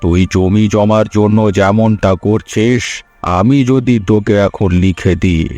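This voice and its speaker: Man voicing a low-voiced stubborn old landlord. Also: unhurried, warm tone, no room sound, soft background music, lightly acted. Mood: firm, wary. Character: wary old former landlord uncle, stubborn and shrewd. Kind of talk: cartoon fairy tale voice acting.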